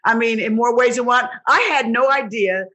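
A woman hosting an interview, chatty and quick to laugh. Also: fairly high pitch, bright, forceful tone. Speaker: interviewer host. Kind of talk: casual interview.

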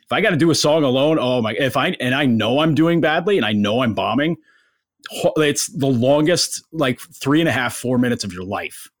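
A man with a bass voice, a guest on a podcast, telling stories in a casual, self-deprecating way. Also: animated, normal speed, dry recording, clean studio sound. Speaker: podcast guest, sports writer.

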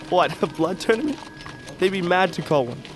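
An actor playing a wry, cryptic young man, a loyal and watchful brother, speaking dryly in a fantasy audio drama. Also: sits high. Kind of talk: cinematic audio drama acting.

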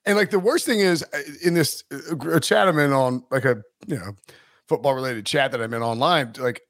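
A man's voice: mid-range voice, a little fast, fairly bright tone, dry recording, studio quality. A man hosting a sports podcast in casual chat, rambling and interrupting himself, laughing often. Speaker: podcast host.

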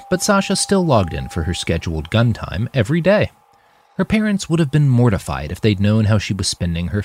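A man reading scripted fiction narration in an expressive, dramatic storytelling manner. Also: fairly low pitch, husky. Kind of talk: scripted audiobook-style narration of a dystopian war novel.

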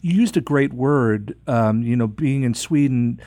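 A man's voice: mid-range voice, a little slow, mellow, dry recording. A man interviewing in a conversational way, musing and wordy as he circles toward his questions.

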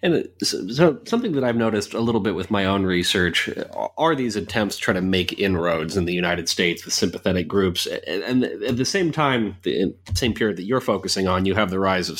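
Deep-voiced man asking hesitant questions. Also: moderate pace, a bit bright. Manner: hesitant, stammering restarts. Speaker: interviewer, fellow researcher. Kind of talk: academic interview questions.

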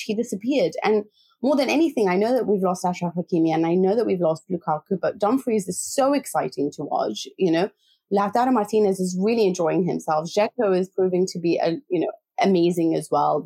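Husky, warm-voiced woman talking football. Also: fairly low pitch, lively intonation, fast. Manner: chatty, says "you know" often. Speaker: football podcast co-host. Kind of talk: casual football analysis chat.

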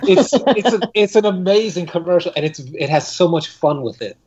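A man's voice: high-pitched, lively intonation, normal speed, darkish tone, lo-fi sound. A man chatting casually as a guest author on a podcast interview.

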